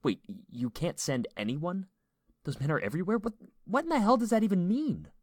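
A man acting an earnest, anxious, animated father. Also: medium pitch, a little fast, dry recording, clean studio sound, pitched above the performer's own voice, close to an everyday voice. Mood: panicked. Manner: earnest, emphatic. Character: tender, anxious young father, protective husband.

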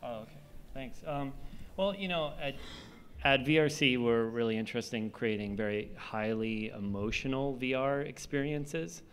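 A man's voice: medium pitch, moderate pace, a bit bright, slight background noise. A man in his thirties speaking fluently and enthusiastically on a conference panel, into a table mic on stage. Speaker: panelist, VR studio founder. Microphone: table mic on stand.

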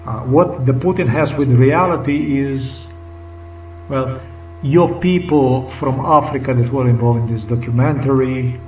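A man's voice: medium pitch, darkish tone, a little hiss or hum. A middle-aged man with a Slovenian accent, talking unscripted to his webcam in a rambling, repetitive, emphatic way, sometimes sinking to a whisper. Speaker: vlogger. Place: small indoor room. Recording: roomy webcam audio from a distance, faint music behind.

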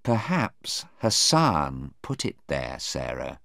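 A man's voice: rumbling low, huge pitch swings, slow, vocal fry, bright, forceful tone, no room sound, studio quality, voice pushed down, heavily acted. A man voicing an anxious, loving middle-aged mother in an audiobook story. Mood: gently cautious.

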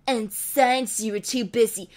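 A woman voicing a theatrical evil female clone villain who gloats and threatens in a drawn-out, menacing manner. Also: fairly high pitch, fairly even intonation, a little mellow, close to an everyday voice. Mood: gloating.

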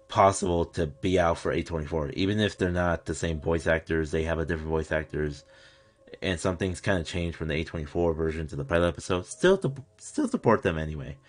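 Deep-voiced young man, rambling fan reaction. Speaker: reaction video host. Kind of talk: casual unscripted reaction commentary. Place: small curtained room at home, indoors.

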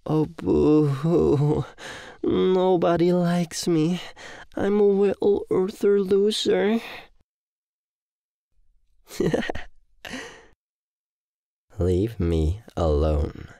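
A man's voice: on the high side, sing-song, drawn-out words, creaky, long pauses, mellow, no echo, studio quality, full character voice. A man playing a mean, mocking demon university bully in an audio roleplay, with a taunting delivery.

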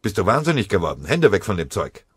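A man's voice: deep voice, big pitch swings, quick pace, husky, no room sound, heavily acted. A man voicing a commanding, wary German army squad leader in an audiobook, sardonic and barking. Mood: scolding.

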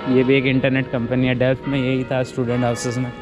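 A man chats casually as he walks an outdoor shopping street, asking his friend lots of questions. His voice sits at a middle pitch. Music plays clearly behind him. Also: monotone, quick pace, muffled recording. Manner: curious, asks many questions. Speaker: vlogger. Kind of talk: casual walking-tour chat.

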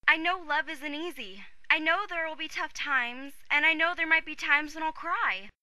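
A woman playing an earnest, excitable teenage girl in an amateur anime-style fandub. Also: high voice, moderate pace, no room sound. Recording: amateur fandub with loud background music.

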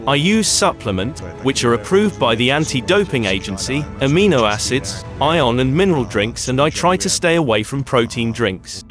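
A young man speaking calmly and deliberately in a plain studio. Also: medium pitch, faint music behind. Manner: calm, deliberate, gesturing. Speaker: athlete.

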